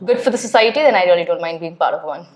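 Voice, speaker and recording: A woman's voice: lowish voice, lively intonation, rapid-fire. A young woman from Kerala, India, answering speaking-test questions chattily with many fillers. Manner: chatty, with frequent uh and yeah fillers. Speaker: test candidate. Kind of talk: spoken exam answers.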